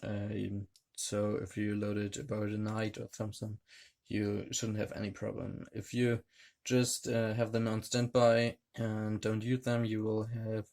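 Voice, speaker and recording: A man reviewing a gadget hands-on, talking in a hesitant, halting way with many fillers. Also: on the low side, monotone, creaky, darkish tone. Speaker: tech reviewer. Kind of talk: hands-on product demonstration.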